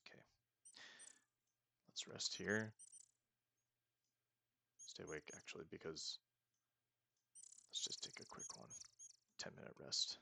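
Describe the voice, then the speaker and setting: Deep gravelly man narrating his gameplay. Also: sharp tone. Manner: thinking aloud, chatty and self-mocking. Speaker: let's-play host. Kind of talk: casual gameplay commentary.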